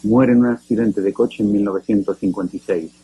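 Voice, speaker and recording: Husky, low-voiced documentary narrator, a man. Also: steady tone, brisk, mellow, poor audio.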